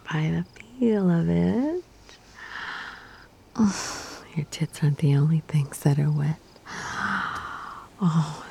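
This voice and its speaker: A woman whispers slowly and intimately, her low voice carrying a gravelly fry beneath the breath. Her tone is soft and mellow, with teasing little murmurs between phrases. Faint background noise sits under the close, hushed delivery. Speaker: audio roleplay performer. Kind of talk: whispered intimate audio roleplay.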